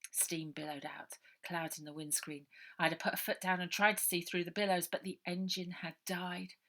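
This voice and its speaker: A woman author reading her own children's adventure novel aloud, lively, dropping to a whisper for suspense. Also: lowish voice, moderate pace. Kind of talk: storybook narration for children.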